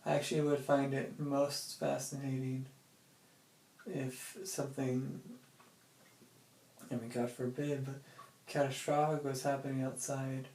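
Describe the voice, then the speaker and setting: A young adult man recording a casual, rambling audio diary, musing in a halting, hesitant way. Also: medium pitch, little pitch movement, creaky, a little mellow. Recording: very echoey room, faint noise.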